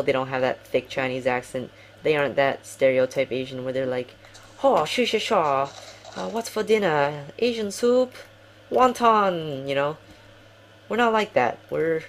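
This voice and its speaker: A man's voice: medium pitch, slight background noise. A young man giving casual game commentary at home, rambling and apologizing often, poking fun at himself. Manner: rambling, apologetic, self-deprecating. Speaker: gaming commentator.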